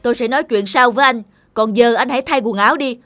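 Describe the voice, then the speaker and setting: A man's voice: extremely high pitch, fast, fairly bright tone, dry recording, soft background music. A man voicing a pushy, stern middle-aged talent manager in a cartoon, bossy and insistent. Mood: angry, scolding. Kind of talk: cartoon voice acting.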